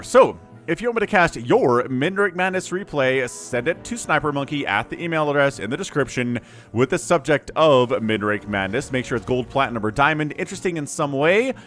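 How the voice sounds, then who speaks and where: A male game shoutcaster talking through a replay in a loose, conversational way, cracking jokes and humming to himself. His voice swings widely up and down as he reacts. Faint background music plays under him. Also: medium pitch. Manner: animated, chatty, jokey, with laughs and hummed fillers. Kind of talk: casual play-by-play commentary.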